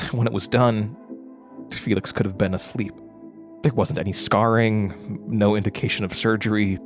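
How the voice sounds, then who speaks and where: An actor playing a haunted, frightened man recounting a horror ordeal in a halting, intense way, as in an audio drama. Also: on the low side, little pitch movement, faint music behind, close to an everyday voice. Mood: haunted, tense. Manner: halting, stammering over words, intense. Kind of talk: first-person horror audio drama narration.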